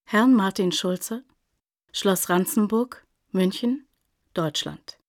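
An actress as a formal radio drama announcer, reading out addresses and dates in a matter-of-fact, deliberate way. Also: female voice, mid-range voice, no echo, studio quality. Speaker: announcer of letter headings. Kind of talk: scripted radio drama narration.

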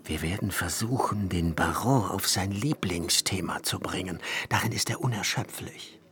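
Deep-voiced pompous old-school professor. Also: male voice, a bit bright. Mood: coaxing. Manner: pompous, ornate, deliberate lecturing. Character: pedantic male professor, verbose, scholarly, conspiratorial moralist. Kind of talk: literary radio drama acting. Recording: studio recording with music behind.

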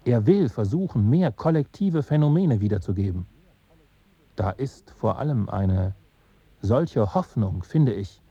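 An actor playing a musing male film enthusiast who thinks aloud in short, halting phrases in a radio drama. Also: medium pitch, animated, dark tone, lo-fi sound, a little hiss or hum. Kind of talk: reflective radio drama monologue.

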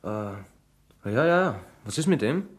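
A bored, droll office worker. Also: male voice, medium pitch, very animated, slow pace, echoey room. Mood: bored, offhand. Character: bored, sluggish, droll male bank employee. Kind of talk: German radio crime comedy acting.

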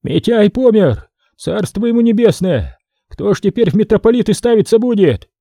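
A man voicing a shouting, indignant monk. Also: sits high, very animated, moderate pace, creaky, no echo, studio quality, pitched above the performer's own voice, exaggerated voice. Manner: shouting, denouncing. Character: hot-tempered male archimandrite, loud, accusing.

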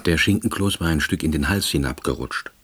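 A man's voice: a bass voice, fairly quick, noisy background. An actor playing a wry, middle-aged former official, telling his own story in dry, wordy scripted narration. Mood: deadpan, wry. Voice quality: husky. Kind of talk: scripted first-person narration.

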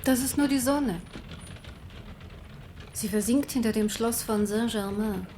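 A woman acting a proud, devout queen mother, embittered and resolute, with a grave, measured delivery in a German radio play. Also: fairly high pitch, warm tone, a little hiss or hum, lightly acted.

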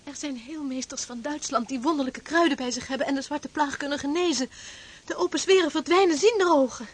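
An actress playing a pious, credulous townswoman of plague times in a period radio drama, fervent and reproachful, with a breathy voice. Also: sits high, a little fast, lightly acted. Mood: eager.

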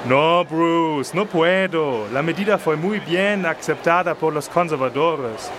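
An actor playing a firm, evasive senator in a radio drama, formal and unyielding. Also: male voice, fairly high pitch, slow, noisy background. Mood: firm, defensive. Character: evasive, firm politician, a senator guarding his election.

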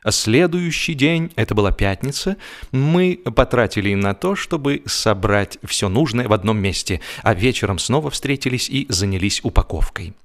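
A man's voice: on the low side, expressive, moderate pace. A man reading humorous fiction as an audiobook narrator, with theatrical, wry delivery and varied emphasis.

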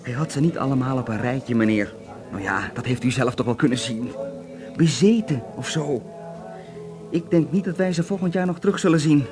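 A man's voice: mid-range voice, moderate pace, music in the background, lightly acted. An actor playing a knowing, gossipy barman in a radio drama, confiding and insinuating in a conversational way.

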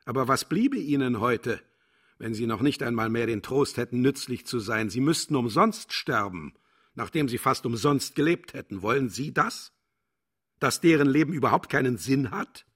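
A man's voice: mid-range voice, a bit bright, slightly rough. An actor playing a cynical, condescending man who lectures pompously about profit in a theatrical radio drama. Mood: indignant. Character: cynical, condescending male defender of killing for profit.

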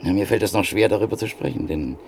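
A weary middle-aged art dealer with a gravelly low voice. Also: male voice, animated, darkish tone, a little hiss or hum. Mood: thoughtful. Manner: measured, reflective storytelling. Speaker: antique print dealer. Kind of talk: classic radio drama acting.

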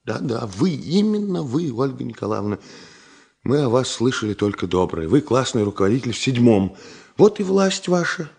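A man's voice: mid-range voice, sing-song, normal speed, gravelly, a little mellow, slightly rough, exaggerated voice. An actor playing an impulsive, big-hearted engineer in a Soviet radio drama, coaxing insistently and repeating his words. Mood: earnest, persuasive.

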